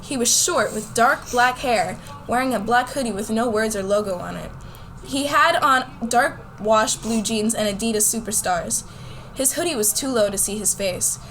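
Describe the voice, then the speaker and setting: A woman plays a competitive high school girl telling her story in a radio play. Her voice sits a little high and her delivery is casual and wry. A faint noise runs behind her. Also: moderate pace, fairly bright tone, rough recording. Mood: narrating. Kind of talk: scripted first-person radio drama narration.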